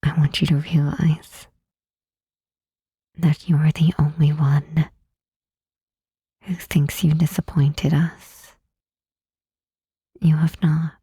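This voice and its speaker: A gentle demon queen, acted by a woman, speaks in a mellow, heavily husky voice at a slow, deliberate pace. She is soft and reassuring, in the intimate manner of an ASMR roleplay. The sound is close and dry. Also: sits low, little pitch movement, no room sound, exaggerated voice. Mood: tender reassurance. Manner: slow, tender, soothing, with deliberate pauses. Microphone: close mic, dry.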